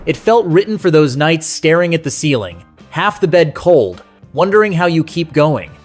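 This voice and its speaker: Man with higher-pitched voice, nostalgic countdown narration. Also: very animated, normal speed, soft background music. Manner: conversational, sentimental, addresses the listener directly. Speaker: narrator and host of a music countdown video.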